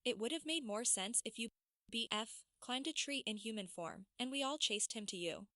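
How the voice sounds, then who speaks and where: A man voicing an exasperated young female werewolf, hushed and indignant as if scolding, in a fantasy audiobook. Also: extremely high pitch, lively intonation, normal speed, no echo, clean studio sound, pitched above the performer's own voice, heavily acted.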